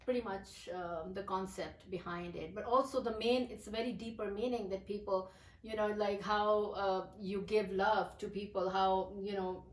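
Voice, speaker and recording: A woman's voice: medium pitch, fairly even intonation, moderate pace. Woman, distant and echoey. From Pakistan. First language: Urdu. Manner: hesitant, many fillers and restarts. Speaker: poet, interview guest. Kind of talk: casual interview.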